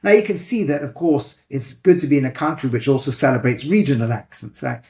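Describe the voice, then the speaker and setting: A man with a light Manchester accent, a rabbi and educator, explaining his views in a thoughtful, animated conversational way. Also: medium pitch, a little fast, reverberant. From England.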